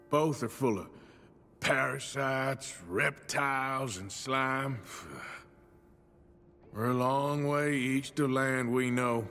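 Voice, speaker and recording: An actor playing a gruff middle-aged outlaw cowboy who talks in a blunt, laconic way. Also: male voice, mid-range voice, bright, forceful tone, slight background noise.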